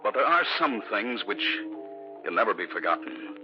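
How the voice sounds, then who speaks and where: A man playing a husband who narrates an old radio horror tale in a measured, serious way. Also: fairly low pitch, sing-song. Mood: grave. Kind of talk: 1950s radio drama acting and narration. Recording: dull old radio recording with loud music.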